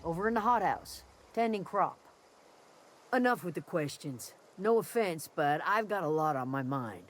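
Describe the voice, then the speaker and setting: An actress playing a wary, gruff camp guard in a frontier video game, with curt, folksy speech. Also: female voice, fairly low pitch, expressive, moderate pace, a little hiss or hum, natural voice. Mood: suspicious, curt. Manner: curt, folksy drawl, suspicious. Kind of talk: video game character dialogue. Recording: music behind the voice.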